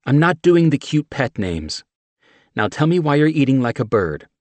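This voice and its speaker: A man's voice: mid-range voice, very animated, normal speed, a little mellow, no echo, voice pushed up. A man voicing a teasing, skeptical young woman in an audiobook.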